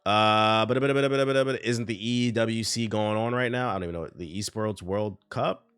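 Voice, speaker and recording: A man's voice: fairly low pitch, normal speed, a bit bright, smooth. A man with a stuffy, congested voice, reading news aloud and chatting casually on a live stream. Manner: casual, reads news aloud then riffs on chat. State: congested from a cold.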